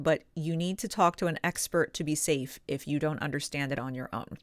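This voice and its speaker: Female coach-presenter, lowish smooth voice, emphatic key words, instructional delivery to viewers, very dry recording. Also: dry recording. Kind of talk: instructional advice talk to camera.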